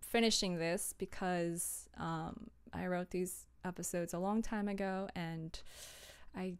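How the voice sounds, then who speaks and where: A woman's voice: fairly low pitch, steady tone, slow, clear, resonant tone. A young adult woman chatting casually on a livestream as a creator giving tips, in an informal, self-deprecating, giggly manner. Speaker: streamer and audio drama creator. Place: small home room, indoors.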